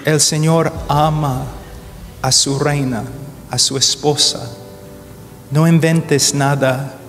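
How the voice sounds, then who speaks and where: Slow, halting man preaching in a hall. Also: on the high side, steady tone, fairly bright tone. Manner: deliberate, halting, searches for words; grows urgent and emphatic. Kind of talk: sermon. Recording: long hall reverb, faint music.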